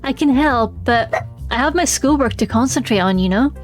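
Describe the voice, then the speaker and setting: A woman's voice: on the high side, lively intonation, moderate pace. A woman streaming a game, chatting expressively and reading the story text aloud. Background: faint music.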